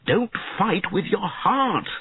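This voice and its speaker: A man voicing a principled older knight in a storybook, loudly admonishing and pleading. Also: mid-range voice, big pitch swings, drawn-out words, slightly rough, no echo, voice pushed up, full character voice. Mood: hurt, admonishing. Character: stern, principled older knight, the boy king's mentor. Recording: narrow, phone-like lo-fi audio.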